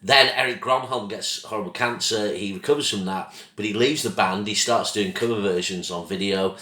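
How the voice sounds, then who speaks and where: A man hosting his own channel with a casual, rambling album review, his voice croaky from a throat infection, coughing now and then. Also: on the low side, normal speed, bright, forceful tone, reverberant. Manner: rambling, loses track of names, apologetic.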